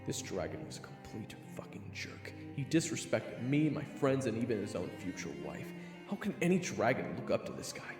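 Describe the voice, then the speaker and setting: A man's voice: mid-range voice, sing-song, normal speed, echoey room, in a large hall, music in the background, close to an everyday voice. A man playing a brash, sarcastic young hero who also narrates a fantasy audio drama in blunt first person. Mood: indignant.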